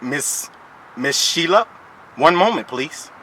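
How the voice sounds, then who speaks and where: A stern, threatening child support official, acted out by a prank-calling man, presses his case over the phone. His voice is fairly high and forceful, with an official, pushy politeness. Faint noise sits behind it. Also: expressive, takes it slowly, full character voice. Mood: stern, pressing. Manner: pushy, mock-official, repeatedly polite "ma'am". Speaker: prank caller. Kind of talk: improvised prank phone call.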